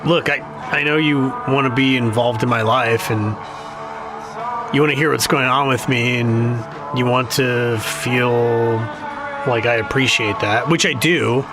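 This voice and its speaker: An actor playing a sardonic, defensive younger brother in a naturalistic audio drama, speaking in dry, halting, casual slang. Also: male voice, medium pitch, steady tone, natural voice. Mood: frustrated, defensive. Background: music.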